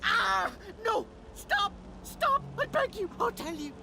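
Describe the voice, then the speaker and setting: An actor voicing a terrified undead dwarf in a video game, begging for mercy. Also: male voice, very high-pitched, sing-song, slow, gravelly, noisy background, heavily acted. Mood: terrified pleading.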